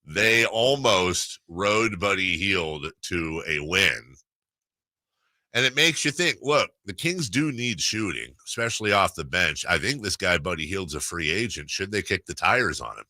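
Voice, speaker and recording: A man with a deep, hard-edged bright voice recorded close and dry. He hosts sports talk casually, with wry jokes and halting restarts. The delivery is conversational and loose. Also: lively intonation, dry recording. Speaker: sports radio host.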